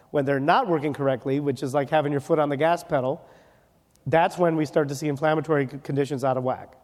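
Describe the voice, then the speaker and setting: A man with a slightly high voice delivers a conference lecture on medicine in a big hall, and the long reverb trails after his words. He is from Wisconsin and mixes quick jokes into the teaching, often tagging a point with "okay?". He sounds like he is speaking at a podium mic. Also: a little mellow. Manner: joking, rapid, ends points with "okay?". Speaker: dermatologist lecturer.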